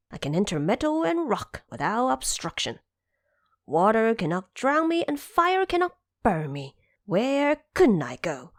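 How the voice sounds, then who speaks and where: A woman's voice: sits high, no echo, clean studio sound, voice pushed up. A woman voicing a boastful, cocky male monkey king with proud, declamatory delivery in a fantasy audio drama.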